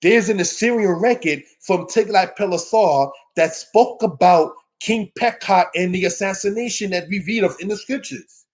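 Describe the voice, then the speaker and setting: Higher-pitched man preaching a scripture lesson. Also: normal speed, hardly any pauses, a little mellow. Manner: exhorting, emphatic, with rhetorical questions. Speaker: religious teacher giving a scripture lesson. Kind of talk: sermon, informal Bible teaching addressed to a congregation.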